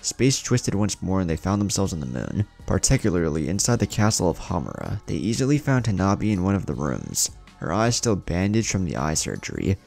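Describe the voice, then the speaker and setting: A man narrates a story in an exceptionally deep voice. His delivery is dramatic and lively, swinging in pitch, with a gravelly creak. Music plays underneath. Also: brisk, hardly any pauses. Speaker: fan fiction narrator. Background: background music.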